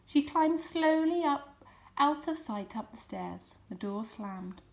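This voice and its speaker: A woman narrating a children's storybook in an expressive reading style. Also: high-pitched, slow pace, vocal fry. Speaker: storybook reader.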